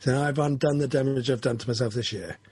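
A man's voice: medium pitch, quick pace, darkish tone, breathy edge, no echo. A man, talking through his goals in a casual, rambling, self-correcting chat. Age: 40s.

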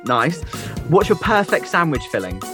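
A man's voice: fairly high pitch, very animated, moderate pace, over music. A man hosting an upbeat radio interview, friendly and encouraging with his guest. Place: live radio show at a comedy festival.

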